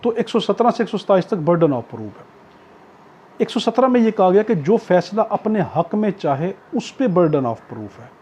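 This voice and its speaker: A man's voice: high-pitched, big pitch swings, unhurried, a little mellow, slightly rough. A man with a Pakistani accent giving an explanatory law lecture for exam students, repeating key points and often checking that listeners follow. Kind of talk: exam-prep law lecture with worked examples. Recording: dull lo-fi audio.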